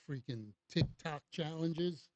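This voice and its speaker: A man's voice: a little high, slow, darkish tone, no echo. A man co-hosting an interview, chiming in with short, agreeable remarks and some casual swearing. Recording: dull, muffled audio.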